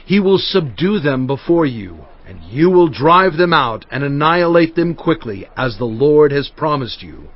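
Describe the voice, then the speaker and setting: Solemn, animated biblical prophet. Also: male voice, fairly high pitch, normal speed, hardly any pauses. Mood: stern, forceful. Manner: solemn, emphatic oratory. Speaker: dramatized audiobook reader. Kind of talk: dramatized scripture reading. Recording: dull audio with faint music bed. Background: faint background music.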